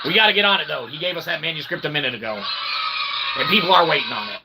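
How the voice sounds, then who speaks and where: A man's voice: fairly high pitch, lively intonation, rapid-fire, very noisy. A man hosting his own channel, delivering a rambling philosophical monologue in wordy, mock-formal, archaic phrasing and constantly checking in with "okay?". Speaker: host of own channel, independent author and developer.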